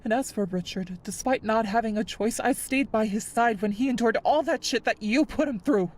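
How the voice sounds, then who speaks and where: A woman's voice: a little high, moderate pace, lightly acted. An actress playing a weary, grieving mother in a video game, leaving a pleading yet resolute voicemail. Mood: bitter, grieving. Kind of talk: dramatic voicemail monologue in a game.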